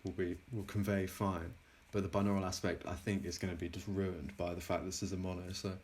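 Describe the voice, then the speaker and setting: A man with a low voice narrates an informal technical demo as the developer. He is hesitant, often pausing halfway through a sentence before carrying on with the explanation. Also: level tone, darkish tone. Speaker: plugin developer presenting a demo.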